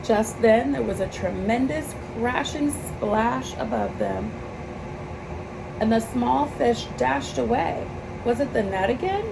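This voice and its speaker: A woman's voice: on the high side, takes it slowly. A woman reading a children's picture book aloud in a storybook narration style. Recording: clear steady background noise.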